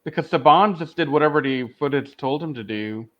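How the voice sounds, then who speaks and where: A man's voice: fairly high pitch, a little fast, a bit bright. A man speaking emphatically and enthusiastically as a panelist in a casual podcast review discussion. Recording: dull, lo-fi microphone audio.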